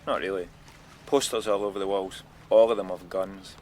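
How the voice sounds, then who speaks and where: An actor playing a matter-of-fact police detective inspector in a radio crime drama. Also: male voice, deep voice, steady tone, normal speed, a little mellow, close to an everyday voice. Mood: businesslike, grim.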